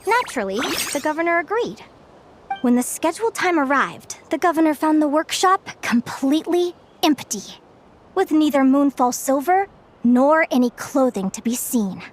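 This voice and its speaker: An actress playing a gentle, scholarly young woman in a game, telling stories in a measured, articulate and enthusiastic way. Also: high voice, animated, a little hiss or hum. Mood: storytelling, composed. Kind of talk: storytelling in an English game dub.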